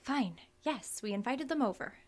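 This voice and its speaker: An actress playing a snippy, defiant but easily rattled small-town wife, blunt and sarcastic, in an audio drama. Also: female voice, fairly high pitch, expressive, moderate pace. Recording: loud music behind.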